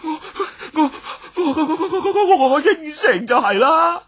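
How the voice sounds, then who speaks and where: A narrator voicing a terrified, pampered young gentleman, stammering and begging in Cantonese. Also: sits high, lively intonation, a little slow, muffled recording, pitched above the performer's own voice. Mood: panicked begging.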